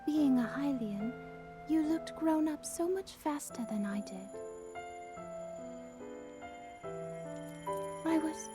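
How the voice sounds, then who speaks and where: A high-voiced, gentle young water princess from a video game. She speaks softly and hesitantly, with a shy, tender devotion. Music plays clearly behind her. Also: expressive, unhurried. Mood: tender, nostalgic. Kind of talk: video game cutscene acting.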